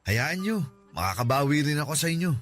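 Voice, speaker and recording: A man's voice: on the high side, sing-song, fairly quick. An actor playing a grieving young man who speaks in a subdued, hesitant way to his friend in a Filipino radio drama. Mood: lightening up. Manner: subdued, hesitant, self-deprecating. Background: soft music.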